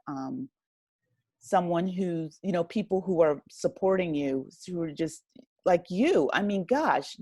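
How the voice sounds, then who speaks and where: Lady being interviewed, kinda low voice, no room echo, thoughtful n grateful, halting casual chat. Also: mellow, clean studio sound. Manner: reflective, appreciative, halting as she gathers thoughts. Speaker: podcast guest, writer and audio drama creator.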